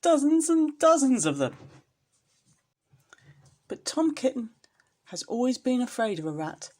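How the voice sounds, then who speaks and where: A woman's voice: sits high, big pitch swings, unhurried, a little mellow. A woman storyteller reading a children's storybook expressively, as if to a young listener.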